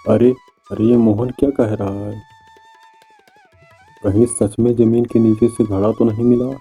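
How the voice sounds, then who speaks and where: A man's voice: on the low side, little pitch movement, a little slow, warm tone, breathy edge, faint music behind. A man voicing a greedy, mocking, scheming villager in a cartoon moral story, with a taunting, exclamatory delivery. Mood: scheming, gloating.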